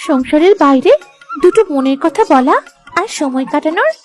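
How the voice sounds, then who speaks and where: A woman's voice: high voice, animated, moderate pace, music in the background. A woman voicing a sweet young daughter-in-law in a village cartoon, agreeable and deferential in manner. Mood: sweet, curious. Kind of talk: Bengali moral-story cartoon dubbing.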